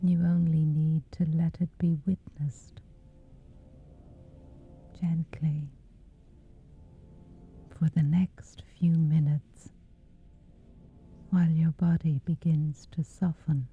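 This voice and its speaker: Soft whispery lady, really slow, low voice, thin tinny sound w faint music under it. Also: flat delivery, breathy edge. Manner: slow gentle soothing delivery. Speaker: meditation guide. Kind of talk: guided sleep meditation.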